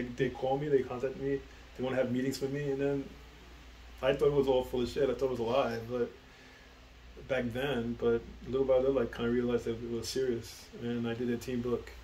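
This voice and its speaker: A man's voice: medium pitch, level tone, dark tone, roomy sound, low-quality recording. Middle-aged guy, kinda rambly, lots of um and uh, faint noise in back. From the Bronx, New York. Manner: rambling, halting, many fillers and restarts. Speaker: guest, comic book writer. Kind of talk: casual interview conversation.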